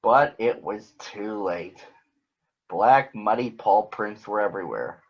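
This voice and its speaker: A man with a husky voice reads a story aloud for children. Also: on the low side, takes it slowly, lo-fi sound. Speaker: storybook reader. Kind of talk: read-aloud narration for children.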